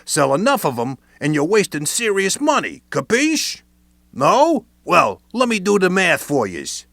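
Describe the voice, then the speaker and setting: Brief: gravelly New man, wiseguy instructor, animated delivery. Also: a little high, normal speed, no room sound, studio quality. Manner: Brooklyn wiseguy slang, playful. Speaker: training video presenter. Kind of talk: scripted instructional narration.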